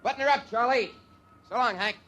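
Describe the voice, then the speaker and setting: An actor playing a young test pilot in a 1950s radio drama, dry and wisecracking, reporting matter-of-factly from the cockpit. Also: male voice, very high-pitched, a little fast, bright, forceful tone, slight background noise. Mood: wry. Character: wisecracking young male test pilot, steady under pressure.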